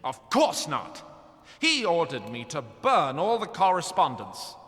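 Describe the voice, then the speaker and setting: In a long, reverberant hall, a scheming fantasy lord treasurer. His delivery is slow and lively in pitch, with a grave, formal and boldly accusing courtly manner. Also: exaggerated voice. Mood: defiant accusation. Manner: formal, measured courtly speech. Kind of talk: fantasy audio drama acting.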